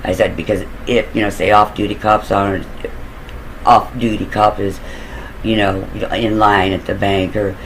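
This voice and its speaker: A man with a deep voice telling a long story in a loose, casual, rambling way, with fillers and the odd curse. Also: level tone, a little slow, fairly bright tone, a little hiss or hum, poor audio. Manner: loose and digressive, with fillers and occasional swearing.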